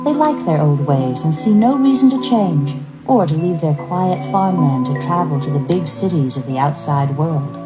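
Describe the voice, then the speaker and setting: A woman reading a scripted story as narrator, with measured, expressive dramatic delivery. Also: deep voice, loud music behind the voice, low-quality recording.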